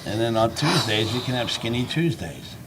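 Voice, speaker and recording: A man's voice: medium pitch, very animated, moderate pace, noisy background. A man co-hosting a live web show, trading crude, mocking jokes in casual banter. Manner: loud, mocking, crude joking.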